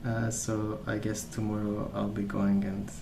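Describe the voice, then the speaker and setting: A man rambling casually in a soft-spoken ASMR style, hesitant and meandering as he hosts. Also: lowish voice, monotone, a little slow, vocal fry, roomy sound, background noise. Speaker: ASMR video host.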